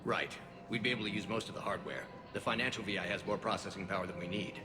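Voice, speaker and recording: A man's voice: on the low side, steady tone, fast talker, lightly acted. An actor playing an earnest, patriotic alien businessman in a video game, firm and insistent, recorded with a hall echo. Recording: echoey hall with music.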